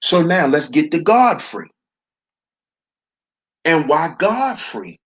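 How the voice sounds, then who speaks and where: A man with a baritone voice hosting a slow, preachy commentary monologue, calling his listeners brothers. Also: sing-song, breathy edge. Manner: preachy, addresses listeners as brothers, repeats "see". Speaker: commentary channel host.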